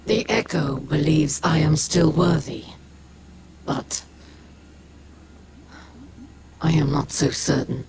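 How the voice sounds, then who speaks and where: An actress playing a proud, bitter, world-weary alien exile leader in a video game monologue, solemn and formal with a gravelly voice. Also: female voice, low-pitched, a little slow, heavy background noise. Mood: weary, doubting. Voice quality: creaky, gravelly. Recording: loud music under the voice.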